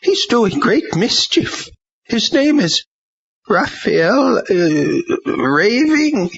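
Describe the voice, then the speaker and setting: Fervent stubborn old church woman, a male narrator pitching way up, husky, dull lo-fi. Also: very animated, drawn-out words, a bit bright, exaggerated voice. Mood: urgent. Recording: dull lo-fi recording.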